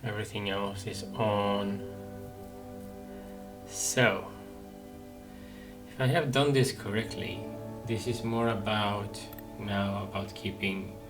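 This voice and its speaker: Man, casual gameplay commentary, deep slow voice, game music clearly behind. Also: steady tone. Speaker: gamer playing and commenting on a video game. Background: video game music.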